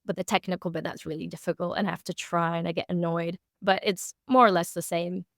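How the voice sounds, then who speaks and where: A woman's voice: on the low side, steady tone, a little fast, no room sound. Young woman explaining things candidly, dry close sound. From born in Moscow, grew up in Singapore. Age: 20s. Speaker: SEO consultant and interview guest. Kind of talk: conversational expert interview.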